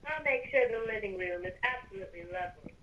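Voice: mid-range voice, animated, fast, recorded from a distance, dull audio, slight background noise, close to an everyday voice. A performer voicing a breezy villain's helper in an improvised game roleplay, casually handing out advice. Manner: casual, advising. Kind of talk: improvised Minecraft roleplay chat.

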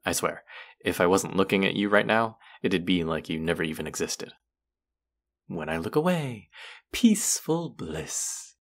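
Man acting a smug, very low-voiced young twin. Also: lively intonation, a little slow, full character voice. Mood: scornful. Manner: mocking, drawling, taunting. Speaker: voice actor in audio roleplay. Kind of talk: scripted roleplay addressed to listener.

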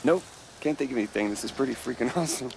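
Cocky young American rebel, animated. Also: male voice, mid-range voice, very fast, vocal fry, slight background noise, poor audio. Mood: cocky banter. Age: young adult. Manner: sarcastic, slangy. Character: cocky, wisecracking young rebel man with new powers. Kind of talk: video game cutscene acting.

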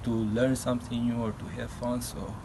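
A man in his twenties from Albania, a student giving measured interview answers outdoors. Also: medium pitch, flat delivery, noisy background. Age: 20s. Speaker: exchange student.

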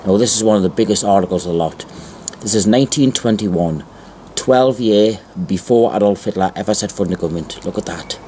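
Low-voiced man reading aloud, noisy recording. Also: mellow. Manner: deliberate, repeats phrases for emphasis. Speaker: amateur video presenter. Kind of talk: informal show-and-tell narration, reading aloud from newspapers.

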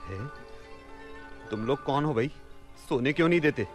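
A man's voice: mid-range voice, lively intonation, moderate pace, over music, lightly acted. An actor playing a bewildered, drowsy common man with a drawling, theatrical delivery in a radio play. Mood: sleepy, confused. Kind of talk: Hindi radio play acting.

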